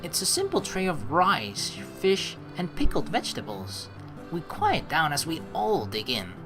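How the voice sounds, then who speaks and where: Lively, low-voiced woman game streamer. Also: measured pace, over music. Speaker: let's play streamer. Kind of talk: reading game text aloud with chatty commentary.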